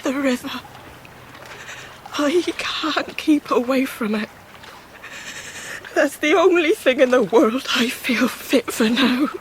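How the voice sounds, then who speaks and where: A young outcast with a high voice. She is anguished and pleading, speaking in country dialect in a Victorian radio drama, with faint noise behind. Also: slow, pauses often, poor audio. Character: despairing, guilt-ridden young outcast country woman. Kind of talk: period radio drama acting.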